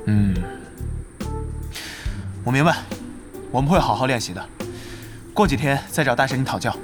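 An actor playing an earnest young esports team captain, polite, hesitant and deferential, in a radio drama. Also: male voice, medium pitch, animated, normal speed, lightly acted. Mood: earnest, worried. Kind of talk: Chinese radio drama dialogue. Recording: music behind the voice.

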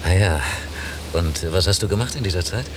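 A deep, low male voice from an actor playing an easygoing teacher on a beach holiday. He sounds curious and conversational, in a naturalistic radio play on a hissy old cassette. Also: a little fast, close to an everyday voice. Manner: conversational, inquisitive. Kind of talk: naturalistic radio drama dialogue and narration.

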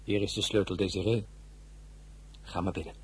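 An actor playing an affectionate, proud general and new husband in a radio drama, speaking intimately and earnestly. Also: male voice, deep voice, little pitch movement, moderate pace, background noise, close to an everyday voice.

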